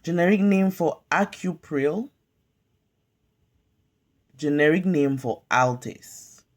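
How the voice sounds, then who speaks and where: Casting: calm woman study tutor, slow deliberate delivery, mellow tone, home phone recording. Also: deep voice. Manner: calm, measured, question-and-pause drilling. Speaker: pharmacy tech study tutor. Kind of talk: slow flashcard-style quiz review. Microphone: phone camera filming whiteboard.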